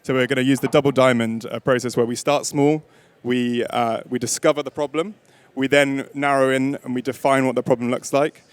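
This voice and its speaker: Smooth-voiced man moderating a panel. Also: medium pitch, steady tone, brisk. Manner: hesitant, many fillers and restarts. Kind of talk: hosting a panel discussion.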